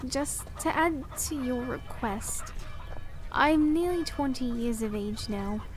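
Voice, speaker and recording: Woman acting high-voiced, unhurried, strong-willed young princess. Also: low-quality recording. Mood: indignant. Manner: formal, archaic storybook diction. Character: strong-willed young princess, nearly twenty, loving, proud. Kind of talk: fantasy audio drama acting.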